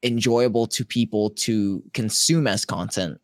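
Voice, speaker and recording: Low, reflective male podcast co-host. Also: no room sound, studio quality. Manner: deliberately lowers his naturally high voice; reflective, thinks aloud in long sentences. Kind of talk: casual podcast chat.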